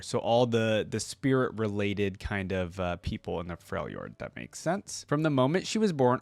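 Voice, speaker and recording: A man's voice: mid-range voice, no echo. Man hosting in a dry, close recording. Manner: informal, curious, thinking aloud. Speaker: reaction video host. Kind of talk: casual reaction commentary mixed with reading lore aloud.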